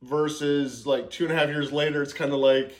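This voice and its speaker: A man's voice: a little high, brisk. Easygoing man podcast co-host. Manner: relaxed, agreeable, frequent short backchannels. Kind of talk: casual chat.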